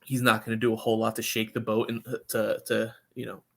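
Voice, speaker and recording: A man's voice: lowish voice, completely flat delivery, a little fast, creaky, fairly bright tone. A man giving opinionated, conversational football analysis in a casual podcast chat. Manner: opinionated, conversational, often checks in with "you know".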